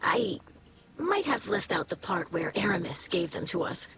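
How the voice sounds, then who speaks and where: A woman's voice: fairly low pitch, big pitch swings, normal speed, background noise. An actress playing an earnest young female alien scholar in a video game, with careful, formal phrasing. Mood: earnest, hopeful. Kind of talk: video game character dialogue.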